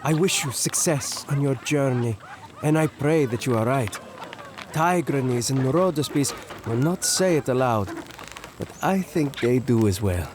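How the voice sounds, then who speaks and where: An actor playing a young newlywed friend in an audio drama, kindly and apologetic, speaking gently, formally and sincerely. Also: male voice, medium pitch, animated, unhurried, background noise, natural voice. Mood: supportive. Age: young adult.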